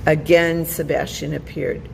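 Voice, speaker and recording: Woman reading a kids story, slow pace, lowish creaky voice, faint noise behind. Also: flat delivery, a little mellow, long reverb tail, poor audio. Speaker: children's storytime reader. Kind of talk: scripted storybook narration.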